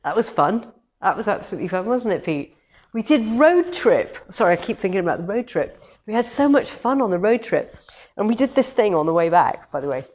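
A woman with a head cold, slightly hoarse, hosting a rambling, cheerful live-stream chat from a large quilting showroom. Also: mid-range voice, big pitch swings, fairly quick, a little mellow. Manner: chatty and cheerful, rambling with many ums. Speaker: quilting shop owner hosting a live demo. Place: large quilting showroom with longarm machines, indoors. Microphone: camera on a tripod a few metres away.

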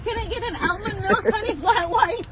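A woman ordering as a drive-thru customer in a squeaky voice, struggling to keep composed. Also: brisk, a loud crowd. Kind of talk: prank ordering. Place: inside a car. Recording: very noisy car at drive-thru.

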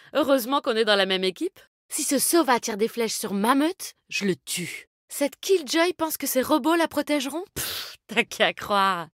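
An actress playing a tough, animal-loving female fighter in a video game, speaking in a brisk, confident, slangy way. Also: a little high, dry recording, clean studio sound, lightly acted. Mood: wry, scornful. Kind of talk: video game character voice lines.

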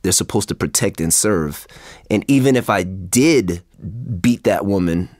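A man's voice: sits low, lively intonation, a little slow, darkish tone, dry recording, clean studio sound. A man speaking candidly and earnestly as a guest in a casual, reflective conversation. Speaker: interview guest.

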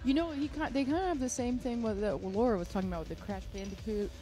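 A woman's voice: on the high side, quick pace. A woman co-hosting with casual banter in a blunt, teasing way. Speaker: co-host. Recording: music behind the voice.

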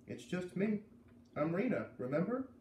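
A man voicing a gentle, patient child talking softly and reassuringly in a storybook read-aloud. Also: high voice, big pitch swings, moderate pace, pitched above the performer's own voice. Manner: soft, reassuring. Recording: roomy, distant camera mic with faint noise.

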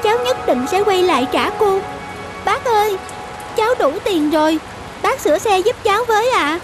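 Casting: earnest, well-mannered young girl, very high voice, timid, for a cartoon. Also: animated, normal speed, over music, rough recording. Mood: grateful. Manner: polite, earnest. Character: polite, timid little girl needing help. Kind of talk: cartoon dialogue.

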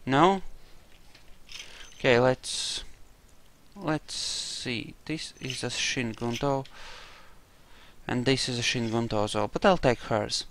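A man's voice: mid-range voice, slow, pauses often, fairly bright tone. A man giving casual roleplay commentary over a video game, with wry, jokey asides. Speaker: gamer narrating a playthrough.

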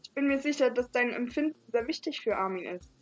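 Young female let's-play host, somewhat high voice, giggly, light music underneath. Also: expressive, fast, dull audio. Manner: giggly, reads lines playfully. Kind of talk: reading visual novel dialogue aloud with joking asides.

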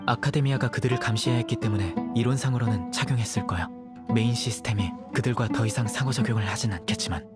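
A stern young male judge speaks calmly and evenly, weighing each word, voiced by a man. Loud game music runs under his voice. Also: medium pitch, close to an everyday voice. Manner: calm, measured, dry. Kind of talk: game dub voice acting.

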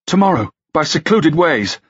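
Man voicing a breathy, high-pitched young courtier. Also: big pitch swings, moderate pace, highs cut off. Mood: quick, hoarse. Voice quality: breathy, hoarse. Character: frightened, resentful youth, a male courtier.

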